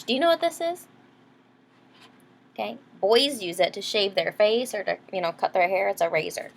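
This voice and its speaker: A woman's voice: mid-range voice, vocal fry, noisy background. A woman reading a picture book aloud to young children, explaining things simply and checking in with questions. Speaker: children's storybook reader, teacher. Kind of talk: read-aloud storytime with explanations.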